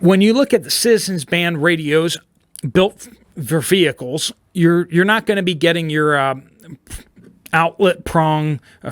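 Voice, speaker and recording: An American man with a slightly high voice walks through gear in an informal explainer. His phrasing is halting, with frequent check-ins to the listener. The sound is close and dry, with no room echo. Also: fairly bright tone. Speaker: host of a preparedness video channel. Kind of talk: informal explainer, product walkthrough.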